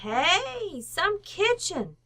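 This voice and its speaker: A woman's voice: extremely high pitch, very animated, drawn-out words, bright, forceful tone, full character voice. A woman playing a brash working-class housekeeper in an old-time radio comedy, blunt and slangy in her speech. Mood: bossy, impatient.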